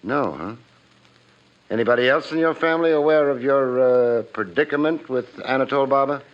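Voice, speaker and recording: An actor playing a tough, wry private detective in a 1950s radio drama, narrating and questioning in a clipped, insinuating way. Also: male voice, mid-range voice, brisk, natural voice. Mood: skeptical. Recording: muffled old radio recording.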